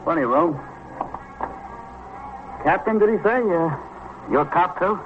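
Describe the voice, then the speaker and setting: Glib New small-time crook, muffled recording. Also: male voice, high-pitched, measured pace, soft background music. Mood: glib, chummy. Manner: streetwise slang, ingratiating protests. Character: glib small-time ex-con numbers racketeer, feigning honesty. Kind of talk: old-time radio drama acting. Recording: muffled, noisy vintage radio recording.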